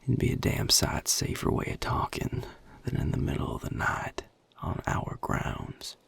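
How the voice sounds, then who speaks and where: A man playing a guarded, world-weary vampire in an intimate audio roleplay, with a Southern US accent and a breathy, gravelly drawl, speaking in measured, hushed phrases. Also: dry recording, whispered, natural voice. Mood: calm, wary. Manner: measured, hushed, plain-spoken with deliberate pauses. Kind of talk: intimate audio roleplay acting addressed to the listener.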